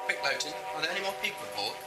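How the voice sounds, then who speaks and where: A man's voice: on the high side, very animated, racing, over music. A man playing an eccentric, scatterbrained time-traveller in an audio drama, wry, breezy and flippant. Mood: brisk. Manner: wry, breezy, talking to himself. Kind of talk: fan audio drama acting.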